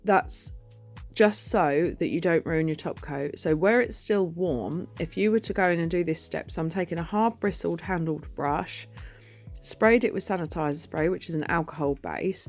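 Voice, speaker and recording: A woman with a deep, husky voice talks through a nail tutorial in a relaxed, informal way, often poking fun at herself. She records the voice-over on a phone, and soft music plays quietly underneath. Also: moderate pace. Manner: chatty, informal, self-deprecating. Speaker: nail artist and educator.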